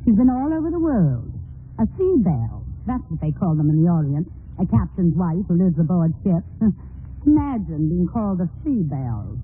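High, haughty society dowager. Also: male voice, big pitch swings, moderate pace, slight background noise. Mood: snooty disapproval. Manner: indignant, snooty. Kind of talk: old-time radio acting. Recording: tinny old radio recording.

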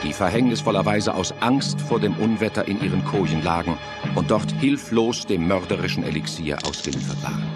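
A man's voice: sits low, a little fast. A man narrating a horror radio play in a dramatic scripted style, with music and effects behind him.

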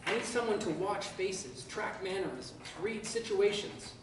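Brief: reader playing a blind lumber tycoon, brisk and proud; distant, echoey room with ambient noise. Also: mid-range voice, animated, pitched above the performer's own voice, lightly acted. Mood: brusque, assured. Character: blind lumber baron, adult man, brusque and proud. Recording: echoey room, far from mic, background noise.

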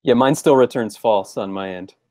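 A man's voice: on the low side, moderate pace, warm tone, no echo. Easygoing man, very dry sound. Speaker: software developer assisting a demo. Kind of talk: casual chat on a team call. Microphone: video call microphone.

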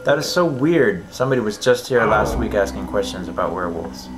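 A man playing a deadpan American voodoo shaman. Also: fairly low pitch, brisk, background noise, natural voice. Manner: deadpan, keeps joking "I kid". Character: deadpan male voodoo shaman, middle-aged. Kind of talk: amateur film acting.

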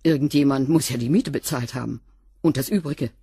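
A woman's voice: a bass voice, fairly quick, mellow, slightly rough, dry recording, exaggerated voice. Curt, guarded cleaning woman. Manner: dry, curt, a bit defiant. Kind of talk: radio drama acting.

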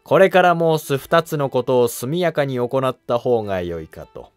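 An actor playing a humble, cautious monk who advises an emperor in formal, deferential court speech in a historical drama. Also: male voice, medium pitch, sing-song, normal speed, clear, resonant tone, no room sound, faint music behind, natural voice. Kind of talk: Japanese historical drama dialogue.

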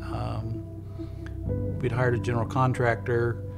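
A church member tells his story in a plain, matter-of-fact way at a middle pitch. Loud music plays beneath him. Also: completely flat delivery, a little slow, vocal fry. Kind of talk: documentary interview. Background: loud background music.

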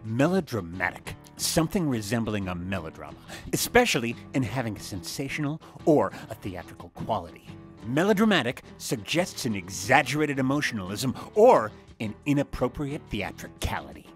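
A man's voice: mid-range voice, very animated, moderate pace, faint music behind, voice pushed up, lightly acted. A man voicing a stern, intense melodramatic leading man in a cartoon, theatrical and emphatic. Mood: overwrought intensity.

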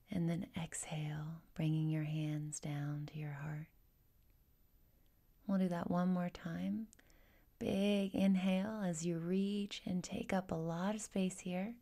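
A woman guides a meditation in a soft, mellow voice that sits on the low side. Her delivery is very slow and gentle, with a husky, whispery breathiness and a creaky edge. She gives each instruction in a soothing, unhurried way.

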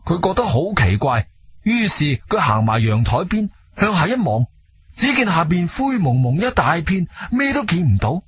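A man's voice: high voice, very animated, normal speed, breathy edge, dry recording. A man with a Cantonese accent narrating a radio drama in a colloquial, suspenseful storytelling manner.

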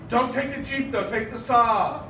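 A man's voice: very high voice, moderate pace, bright, forceful tone, a little hiss or hum. A man playing a fussy middle-aged mother who nags and teases her son on an improv stage. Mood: scolding. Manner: nagging, dry, teasing. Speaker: improv performer. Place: theater stage. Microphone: far room camera. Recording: echoey theater from far off.

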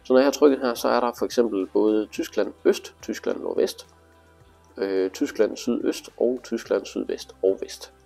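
A man's voice: on the low side, creaky, warm tone, faint music behind. A man giving a casual, hands-on tutorial, explaining things informally step by step. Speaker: instructor demonstrating motorcycle display.

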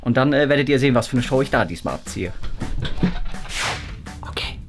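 A man's voice: mid-range voice, expressive, fairly quick. A man in his thirties chatting casually as host of an online video. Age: 30s. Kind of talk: casual vlog chat. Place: indoor office rooms and stairwell. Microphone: handheld or propped vlog camera. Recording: echoey room, loud music behind. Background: music.

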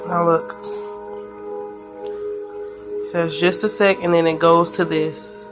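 Woman, monotone home recording, fed-up help request, music behind. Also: lowish voice, slow. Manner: flat, frustrated help request. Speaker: vlogger asking for help. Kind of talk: casual phone screen recording. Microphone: phone camera filming a handheld screen.

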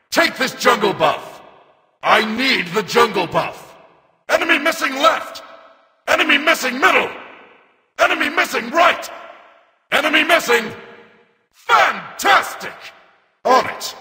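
A battle-hungry mechanical reaper from a video game. The voice is extremely high for a male and very tense, with a gravelly edge. Short commands are barked grimly and forcefully. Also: huge pitch swings, a little slow, pauses often, big-room sound, exaggerated voice. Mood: grim, controlled. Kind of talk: video game character callouts.

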